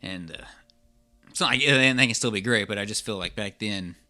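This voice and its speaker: A man co-hosting a podcast in casual chat, rambling along in a halting way. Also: on the low side, sharp tone. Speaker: podcast co-host.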